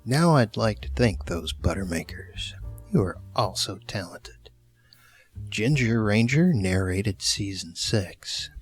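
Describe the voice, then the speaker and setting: A man's voice: on the low side, expressive, slow pace, breathy edge, soft background music. A man hosting the end of an audio series, reading credits and thanks in a casual scripted style.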